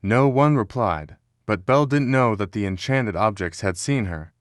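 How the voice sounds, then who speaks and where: A man's voice: lowish voice, lively intonation, normal speed, no echo, studio quality. A man reading scripted narration as the narrator of a children's storybook.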